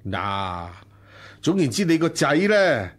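An actor playing a wealthy, generous, bossy household master in a period radio drama, with a hearty manner. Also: male voice, fairly high pitch, very animated, slow, full character voice. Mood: generous, insistent. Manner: hearty, brushing off thanks. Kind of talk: Cantonese radio drama acting.

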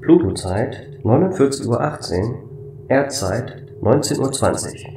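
Guy doing a calm factual space station computer, formal and flat, sci-fi radio play, slight noise. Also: mid-range voice, a little slow, reverberant, recorded from a distance. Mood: neutral. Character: calm, matter-of-fact station AI computer named Keep. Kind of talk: amateur sci-fi audio drama acting.

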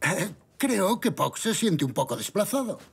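A man voicing an older grandfather zebra in a children's cartoon dub, gentle, patient and a little hesitant. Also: high-pitched, very animated, measured pace, creaky, over music, full character voice. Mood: kindly.

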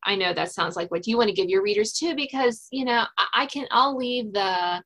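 A woman's voice: medium pitch, brisk, fairly bright tone. A woman hosting a podcast in a conversational interview style. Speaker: podcast host and author.

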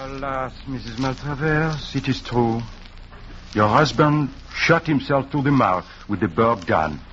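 An actor playing a shrewd, courtly Belgian detective with a French-sounding accent, in emphatic 1940s radio style. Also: male voice, mid-range voice, animated, unhurried, a bit bright. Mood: gravely courteous. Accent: French-speaking foreign accent, put on. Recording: muffled, noisy 1940s radio recording.

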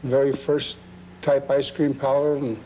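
An older man in a casual interview, talking plainly and haltingly in short phrases on an old videotape recording. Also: medium pitch, flat delivery, lo-fi sound. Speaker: collector interviewed. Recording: old degraded videotape, faint noise.

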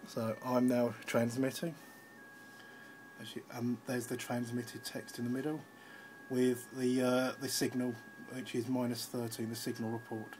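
A man's voice: medium pitch, little pitch movement, measured pace, darkish tone, a little hiss or hum, low-quality recording. A man giving an informal, slightly hesitant live demonstration as an amateur radio operator, recorded on a handheld phone indoors. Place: indoors at a radio station desk.

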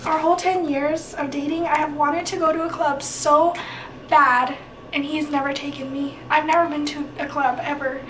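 A woman's voice: high voice, level tone, unhurried, a bit bright, slight background noise, rough recording. A young woman in her twenties with a Mexican American accent, chatting in a bubbly, giggly way in a small apartment. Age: 20s. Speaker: vlogger. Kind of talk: casual home vlog chat. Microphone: camera held by someone nearby.

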